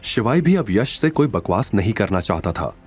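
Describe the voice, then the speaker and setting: A man's voice: lowish voice, very animated, moderate pace, darkish tone, breathy edge. A man narrating a scripted audio drama in a dramatic storytelling style. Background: music bed.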